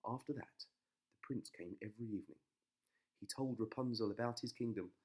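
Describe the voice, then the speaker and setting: A man's voice: lowish voice, a little fast, a little mellow. A man narrating a children's bedtime story as a dramatic storyteller.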